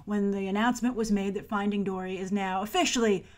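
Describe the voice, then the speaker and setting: An American woman in her thirties hosting chatty box office commentary to camera, with emphatic delivery and stressed, drawn-out words. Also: mid-range voice, steady tone, a little mellow. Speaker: movie news show host.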